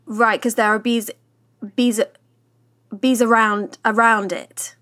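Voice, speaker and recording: An actress playing a patient, diplomatic business consultant in a comedy podcast, politely baffled and choosing words hesitantly, in a naturalistic style. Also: female voice, fairly high pitch, flat delivery, slow pace, fairly bright tone. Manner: tactful, hesitant, careful phrasing.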